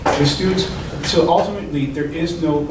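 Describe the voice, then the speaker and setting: A man's voice: on the high side, moderate pace. Man explaining, in an echoey room. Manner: explanatory, with restarts. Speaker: panelist presenting his department's project. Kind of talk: panel discussion. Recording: echoey room from far off, noisy.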